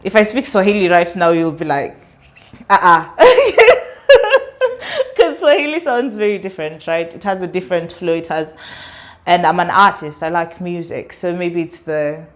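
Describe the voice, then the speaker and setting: A young woman from Kenya whose English carries a British-sounding accent. Her voice sits a little low. She talks casually and expressively in an interview, breaking into laughter often. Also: a little slow. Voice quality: laughs often while talking, chatty and expressive. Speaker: interviewee, student and artist.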